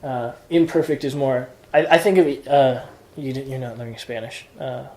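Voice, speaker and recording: A man's voice: medium pitch, steady tone, normal speed, a little mellow, echoey room. A man teaching introductory Greek grammar in an informal lesson, explaining patiently step by step with examples. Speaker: Greek tutor.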